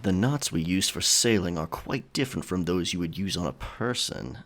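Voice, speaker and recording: An actor playing a teasing, self-assured pirate sailor in an audio drama. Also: male voice, deep voice, lively intonation, normal speed, a bit bright. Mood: serious, instructive.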